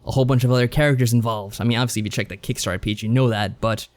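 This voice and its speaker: A man's voice: medium pitch, steady tone, very fast. A man co-hosting a podcast, recapping a story in casual chat with a rambling, wry delivery. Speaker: podcast co-host.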